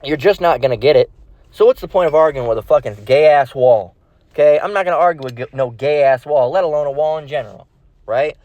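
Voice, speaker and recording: A man's voice: fairly high pitch, brisk, a little mellow, no room sound. Man preaching informally, dull dry sound. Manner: blunt and profane, confrontational, repeats phrases; slangy, tags phrases with "all right?" and "okay?". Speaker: street-style Bible preacher. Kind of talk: informal impromptu sermon with scripture reading.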